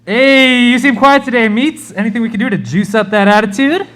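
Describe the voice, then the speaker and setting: A man's voice: extremely high pitch, fairly quick, a bit bright. A man playing an exuberant, pushy, over-friendly radio DJ in a comic live radio play. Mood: coaxing, upbeat. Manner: exuberant, pushy, piling on alliterative nicknames.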